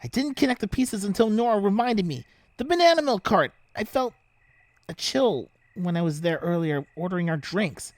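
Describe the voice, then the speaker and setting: A woman playing an excitable, determined teenager in an audio drama. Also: mid-range voice, normal speed, no echo, close to an everyday voice. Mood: excited realization. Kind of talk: fan audio drama acting.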